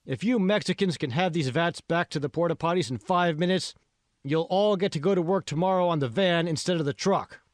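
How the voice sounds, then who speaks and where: A man's voice: a little high, a little fast, no echo, studio quality, voice pushed up, close to an everyday voice. Man acting a bossy, irritable foreman haranguing his crew. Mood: bossy, persuading. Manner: haranguing, coarse, impatient. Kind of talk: audiobook character dialogue.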